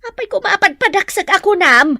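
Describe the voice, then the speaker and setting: An actress playing a nosy, brazen village gossip in an Ilocano audio drama, with an Ilocano accent and a sing-song teasing manner. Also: female voice, extremely high pitch, animated, brisk, creaky, bright, forceful tone, dry recording, clean studio sound, heavily acted. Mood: defiant.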